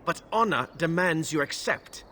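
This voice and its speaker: An actor playing a self-righteous knight in a fantasy game, formal, indignant and accusing. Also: male voice, a little high, animated, moderate pace, pushed and bright, a little hiss or hum. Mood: stern challenge. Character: self-righteous male knight, accusing and challenging a supposed traitor. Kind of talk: fantasy video game acting.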